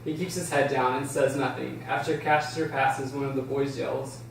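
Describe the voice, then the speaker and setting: A man's voice: medium pitch, little pitch movement, moderate pace. A man reading scripted stage directions as the narrator of an amateur table read. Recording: roomy, distant, faint noise.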